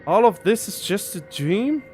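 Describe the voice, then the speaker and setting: High-voiced male game streamer. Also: very animated, a little slow, faint music behind. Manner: puzzled, thinking out loud, questioning. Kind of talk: casual live playthrough commentary, reading dialogue aloud.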